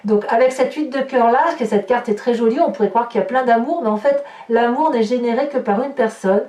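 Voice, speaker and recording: Female tarot host, higher-than-average voice, emphatic improvised guidance with repeated phrases, clearly echoey recording. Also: a little fast, mellow. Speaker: tarot reader, horoscope host. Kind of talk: improvised tarot reading commentary addressed to viewers. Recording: roomy echo, distant microphone.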